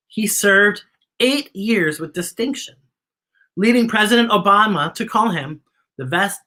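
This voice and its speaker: Expressive, bright-voiced American woman, slightly husky. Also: mid-range voice. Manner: expressive, encouraging, addresses young viewers directly. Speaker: children's story time host. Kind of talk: live read-aloud with chatty commentary.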